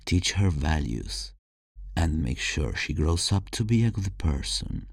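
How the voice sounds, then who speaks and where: A man playing an obsessive kidnapper in an ASMR roleplay, tender yet menacing, speaking intimately and deliberately to a captive as he justifies himself. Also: extremely low pitch, very animated, gravelly, slightly rough, no room sound, clean studio sound, heavily acted. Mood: tender, proud.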